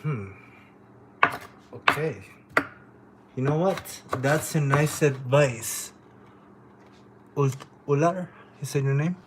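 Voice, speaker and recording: A man's voice: mid-range voice, slow pace, pauses often, background noise. A man from Honduras who speaks natively, chatting casually and rambling with plenty of swearing as he hosts a livestream. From Honduras, living in Canada since age twelve. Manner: informal, profane, rambling. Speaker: livestream host and painter.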